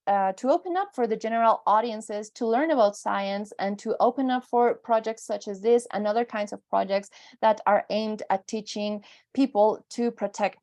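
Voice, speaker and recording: A woman's voice: on the high side, darkish tone. A woman from Mexico, giving an earnest presentation as a conservation educator on an online panel.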